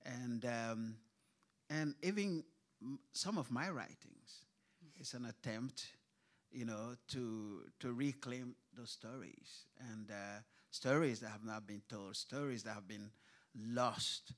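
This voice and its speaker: Panel speaker, man, thoughtful halting delivery, lots of ums, live event stage. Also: mid-range voice. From grew up in Kuwait. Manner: hesitant, many fillers and restarts. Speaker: writer on a literature panel. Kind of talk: panel discussion.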